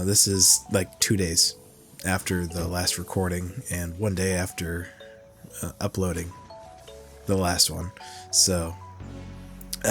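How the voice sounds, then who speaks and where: A man with a cold-stuffed, nasal voice talks through his gameplay at a slow, unhurried pace, thinking out loud. His voice sits fairly low, and music plays clearly behind him. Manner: rambling, thinking aloud, many fillers. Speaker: gaming channel host. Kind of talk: casual gameplay commentary.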